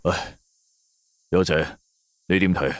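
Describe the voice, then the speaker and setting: Man doing a veteran male cop, audio story, deep pitch, terse, weary, knowing, dry recording. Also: steady tone, slow, dry recording. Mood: grave, weary. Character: stern world-weary veteran male police detective.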